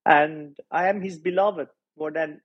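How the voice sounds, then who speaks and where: Man in his forties, persian accent, husky, earnest and reflective, halting delivery, interview guest. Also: on the high side, fairly even intonation, dull audio. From Iran. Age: 40s. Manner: earnest and reflective, with frequent hesitations. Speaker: pastor, podcast guest. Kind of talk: personal testimony in an interview.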